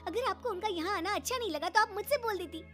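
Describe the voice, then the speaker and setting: A high-pitched young Indian daughter-in-law acted by a woman storyteller, hurt and aggrieved. She scolds with indignation in a domestic audio story, with faint music behind. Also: fairly even intonation, normal speed, bright, forceful tone, full character voice. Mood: indignant.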